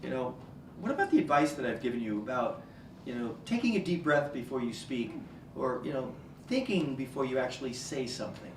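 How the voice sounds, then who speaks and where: A man's voice: mid-range voice, lively intonation, a little fast, lightly acted. A man playing a patient, pleading group therapist who encourages and placates, in an echoey room. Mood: placating. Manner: hesitant, placating. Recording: echoey room, distant mic, faint noise.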